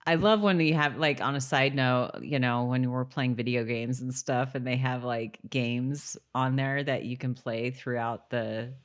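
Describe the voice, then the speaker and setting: Low-pitched woman co-hosting a podcast. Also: steady tone, measured pace, hardly any pauses. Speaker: podcast co-host. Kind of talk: casual TV recap chat, partly scripted summary.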